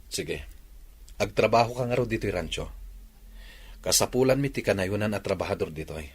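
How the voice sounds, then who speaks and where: A determined young rancher played by a man in a village radio drama. His voice is low and husky, and he speaks earnestly as a father. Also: lively intonation, fairly quick. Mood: matter-of-fact. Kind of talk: Ilocano radio drama acting.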